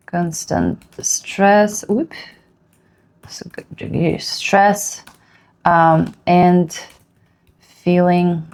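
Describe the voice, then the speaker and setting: Woman, second-language English, slightly low voice, halting screencast talk-through. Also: creaky, a little mellow. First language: Russian. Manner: halting, thinks aloud while typing, many fillers. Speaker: productivity vlogger. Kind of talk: screen-share tutorial.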